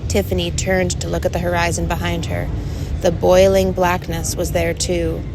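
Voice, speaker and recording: A woman narrating a story expressively as an audiobook reader, with clear noise behind her. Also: lowish voice, little pitch movement, normal speed.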